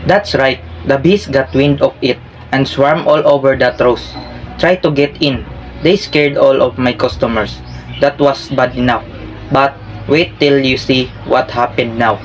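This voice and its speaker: A man's voice: medium pitch, fairly even intonation, a little slow, soft background music, close to an everyday voice, rough recording. A man playing an upset, scolding florist shop owner in staged story theater.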